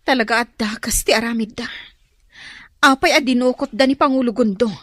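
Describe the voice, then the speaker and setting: A woman's voice: on the high side, fairly quick, sharp tone, no room sound. A woman acting a worried young daughter in a radio drama, earnest and pleading. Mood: distressed, worried.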